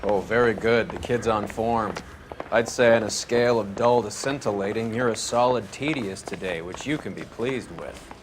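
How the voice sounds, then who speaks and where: An actor playing a sardonic, wisecracking 1940s police detective in a video game, with a needling, sarcastic delivery. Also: male voice, fairly low pitch, moderate pace, background noise, natural voice. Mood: dry sarcasm. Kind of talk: video game cutscene acting.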